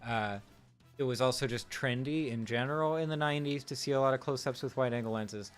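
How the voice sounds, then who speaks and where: A man's voice: medium pitch, a little fast, soft background music. A man streaming live, talking through film technique in a casual, musing way with fillers and restarts.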